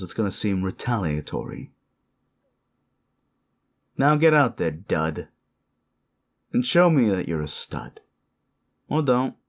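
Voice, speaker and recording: A low, animated voice for a sleazy werewolf boss, acted by a man in a roleplay audio drama. He bullies with crude, condescending menace, his pitch sliding around as he taunts and threatens. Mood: smug, taunting.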